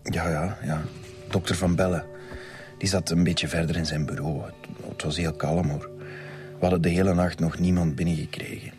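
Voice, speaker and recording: A man playing a shaken, injured nurse in a radio drama, dazed and stammering, with a breathy Flemish-accented voice. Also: deep voice, little pitch movement, a little mellow, faint music behind, natural voice. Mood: shaken, halting. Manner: halting, stammering, repeating words. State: in pain, dazed. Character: shaken, injured male nurse giving halting testimony. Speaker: nurse, witness.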